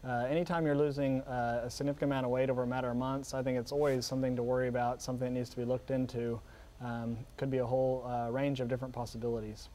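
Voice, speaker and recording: A man's voice: medium pitch, little pitch movement, racing, dark tone, slight background noise. A man answering interview questions as a doctor, hesitant, with many ums and broken phrases. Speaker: geriatrician guest on a news show.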